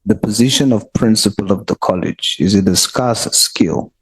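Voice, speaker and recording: A man, a committee member pressing a witness with slow, pointed, repeated questions in a parliamentary hearing. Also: lowish voice, breathy edge, poor audio.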